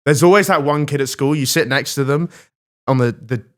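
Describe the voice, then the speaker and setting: A young man hosting a comedy podcast, deadpan with frequent giggles. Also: on the high side, brisk. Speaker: host. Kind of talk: casual comedy podcast chat.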